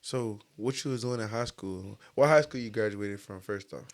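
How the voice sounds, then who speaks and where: A man's voice: on the low side, moderate pace, gravelly. A man hosting a podcast in a casual, slangy interview style, with a joking, teasing manner. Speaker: podcast host.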